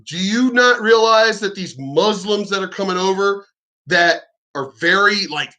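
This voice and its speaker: A man with a high, bright voice rants in a combative, mocking way, swearing freely. He sounds pointed and sharp as he tears into what he is reacting to. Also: measured pace. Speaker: reaction commentator. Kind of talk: ranting reaction commentary.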